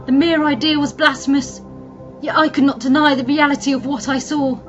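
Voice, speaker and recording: A woman playing a trapped human alchemist, narrating her own ordeal gravely and theatrically in a fantasy audio drama. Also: high-pitched, steady tone, brisk, natural voice. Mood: grim dismay. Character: female human alchemist, trapped, wary and determined survivor. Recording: dull lo-fi sound, music underneath. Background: music.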